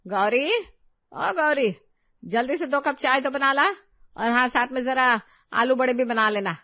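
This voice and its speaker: Woman playing middle-aged mother-in-law, loud, nagging, sarcastic, theatrical, audio story, dry muffled sound. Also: high voice, a little slow, no room sound, pitched above the performer's own voice. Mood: bossy calling.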